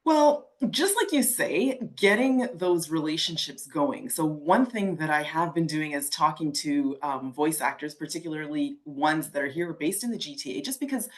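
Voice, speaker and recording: A woman who is a voice actor, with a very deep voice, earnestly answering a coach's questions on a video call. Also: expressive, normal speed, hardly any pauses. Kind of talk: answering questions in a role-play.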